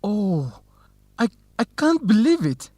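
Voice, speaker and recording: A man's voice: high voice, wildly swooping pitch, takes it slowly, darkish tone, no echo, exaggerated voice. An actor playing an earnest young male university student in love, speaking carefully in a radio drama for English learners. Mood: surprised, hopeful.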